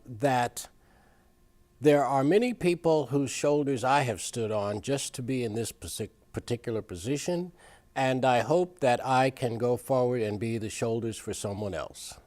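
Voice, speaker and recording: A man from Ohio, a city clerk, speaking deliberately and proudly in an interview. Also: medium pitch, a little mellow. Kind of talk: interview reflection.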